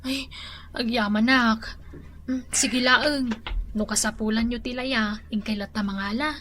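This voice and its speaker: An actress playing a patient adult daughter, soothing and gently scolding in a radio drama. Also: female voice, on the high side, fairly even intonation, measured pace, slight background noise. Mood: apologetic. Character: patient adult daughter calming her grumpy father. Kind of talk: Filipino radio drama acting.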